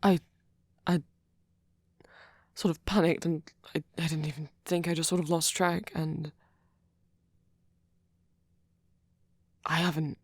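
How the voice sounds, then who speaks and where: A man playing a tender, fretful vampire boyfriend in an ASMR roleplay, speaking softly and hesitantly, often close to a whisper. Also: a little high, little pitch movement, moderate pace, no echo. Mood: flustered, apologetic. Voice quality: breathy, often near whisper. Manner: soft-spoken, hesitant, stammering, tender. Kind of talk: ASMR roleplay audio drama.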